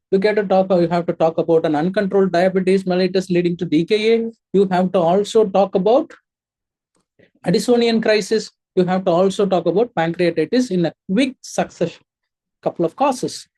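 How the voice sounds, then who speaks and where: A man's voice: high-pitched, fairly quick, warm tone, low-quality recording. A man coaching doctors for a clinical exam, emphatic and instructive, often posing rhetorical questions. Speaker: medical exam mentor and teacher. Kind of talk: coaching lecture.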